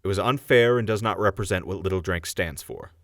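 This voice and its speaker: A man's voice: deep voice, animated, a little fast, a bit bright, no echo, studio quality. A man voicing a stern town judge who speaks formally and scolds the defendants.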